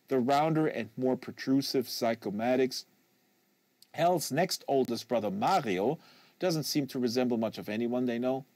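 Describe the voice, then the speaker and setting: A man's voice: mid-range voice, a little mellow, no echo. Man reading a novel, dry studio sound. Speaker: audiobook reader and host. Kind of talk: live literary novel reading, scripted narration. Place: small acoustically treated home studio. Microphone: close studio microphone.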